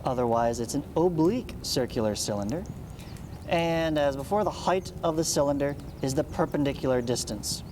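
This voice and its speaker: A man's voice: on the high side, expressive, normal speed, noisy background. A man teaching in a read-along lesson style, explaining step by step with asides and frequent check-in questions. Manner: explanatory, frequent check-in tags like "right?" and "okay?". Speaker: math teacher.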